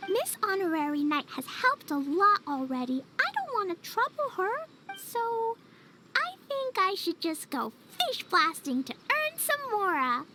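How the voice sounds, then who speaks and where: Casting: sweet, innocent little girl for a game, extremely high, lively childlike delivery, eager. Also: unhurried, noisy background, exaggerated voice. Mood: earnest. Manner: eager, guileless. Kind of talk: video game voice acting.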